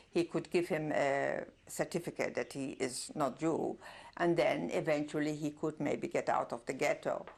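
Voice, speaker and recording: An older woman whose first language is German, giving a reflective oral history testimony with many pauses and soft laughs. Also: low voice. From Czernowitz, Bukovina. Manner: reflective, pausing often with fillers, soft laughs. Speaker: interviewee, Holocaust survivor.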